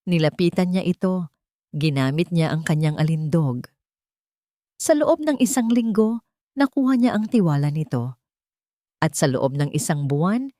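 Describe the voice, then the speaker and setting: Female narrator, lower register, dry close sound, scripted dramatic storytelling. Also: warm tone, no echo.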